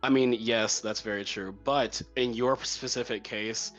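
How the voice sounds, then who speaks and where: A man's voice: mid-range voice, fairly even intonation, a bit bright, faint music behind, low-quality recording. Chatty young man hosting a podcast. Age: young adult. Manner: chatty, quick to laugh. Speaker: podcast interviewer. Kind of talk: casual interview chat.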